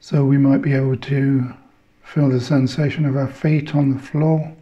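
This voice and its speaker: A man leading a guided meditation in measured short phrases, leaving long pauses between them. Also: medium pitch, flat delivery, dark tone, muffled recording.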